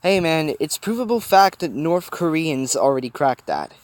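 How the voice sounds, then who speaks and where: A man voicing an excitable, teasing young male mascot who loves comics and monsters. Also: on the high side, normal speed, pitched above the performer's own voice, natural voice. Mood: playful.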